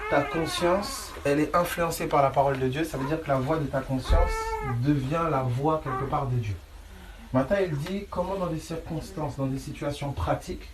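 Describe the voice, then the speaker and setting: A man preaching informally, insistent and colloquial, repeating phrases and often checking that listeners follow. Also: fairly high pitch. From France. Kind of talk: informal sermon, Bible teaching. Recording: echoey room, clear background noise.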